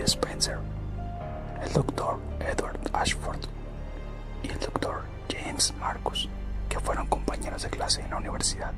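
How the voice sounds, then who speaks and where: Whispered Spanish-language ASMR narrator over music. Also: very high-pitched. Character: corporate recruiter recounting company history. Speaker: ASMR content creator. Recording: close whisper over loud background music.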